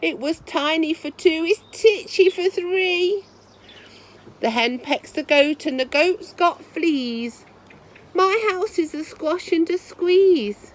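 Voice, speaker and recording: A woman's voice: very high voice, expressive, slow, pitched above the performer's own voice, exaggerated voice, rough recording. A woman voicing a grumbling, frazzled little old lady from a storybook, plaintive, exclaiming and theatrical. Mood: exasperated, incredulous. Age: older. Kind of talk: storybook read-aloud character voice.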